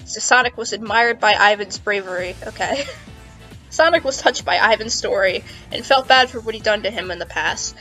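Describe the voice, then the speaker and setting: A woman's voice: medium pitch, normal speed, fairly bright tone, rough recording. A woman narrating comedic fan fiction, playful and amused, mixing dramatic reading with casual asides. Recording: music behind the voice.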